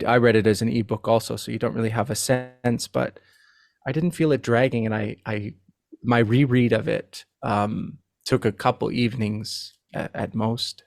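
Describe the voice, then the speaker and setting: A man speaking as a podcast guest about literature, thoughtful and halting, often repeating words as he thinks. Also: fairly low pitch, vocal fry, a little mellow, no echo, studio quality. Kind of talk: casual literary discussion.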